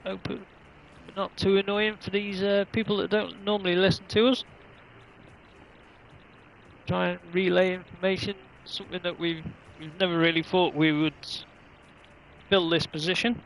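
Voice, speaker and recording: A man's voice: high-pitched, level tone, normal speed, creaky, pauses often, fairly bright tone, a little hiss or hum, rough recording. A man commentating casually on an online racing livestream, rambling along in an easygoing, hesitant way.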